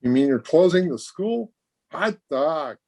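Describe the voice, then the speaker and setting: A man playing an eager, breezy, slangy teenage high school boy in an old-time radio comedy. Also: animated, measured pace, a little mellow. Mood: gleeful. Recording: remote video-call recording.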